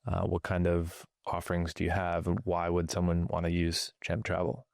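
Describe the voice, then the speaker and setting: A man's voice: low voice, monotone, normal speed, vocal fry, a little mellow, no room sound, clean studio sound. A man hosting a podcast interview, asking loosely phrased questions and stacking several at a time. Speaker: podcast host.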